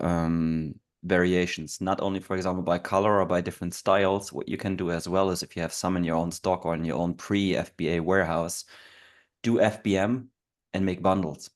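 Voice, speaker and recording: A man's voice: low voice, fairly even intonation, moderate pace. A man hosting an informal interview, talking casually to viewers and his guest, often repeating and interrupting himself. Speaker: host.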